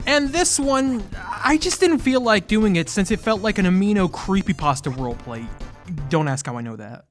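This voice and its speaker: A lively commentary host with a very animated, swooping delivery. The scripted comedy is delivered with sarcasm and exasperation, rising into mock outrage, over light background music. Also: mid-range voice, normal speed.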